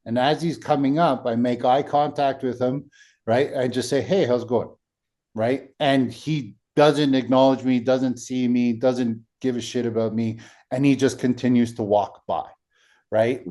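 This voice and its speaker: A man hosts a relaxed podcast conversation in a deep, dark-toned voice. He tells his stories step by step and tags his points with "right?" to check in with the listener. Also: unhurried. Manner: storytelling, frequent "right?" tags. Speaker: podcast host.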